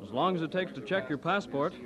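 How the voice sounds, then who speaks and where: An actor playing a matter-of-fact, affable immigration officer in an audio drama. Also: male voice, a little high, expressive, fast, close to an everyday voice. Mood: businesslike. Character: businesslike, easygoing male immigration officer. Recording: dull sound, busy crowd behind.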